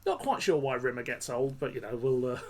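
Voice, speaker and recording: A man's voice: mid-range voice, very animated, fairly quick, crowd noise. A British man hosting a podcast, talking casually with a rambling manner and chuckling often. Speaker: podcast host. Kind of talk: casual chat.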